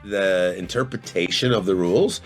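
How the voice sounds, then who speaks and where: A man's voice: low voice, moderate pace, soft background music. A man guesting on a podcast, explaining his points with many restarts. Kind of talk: casual podcast discussion.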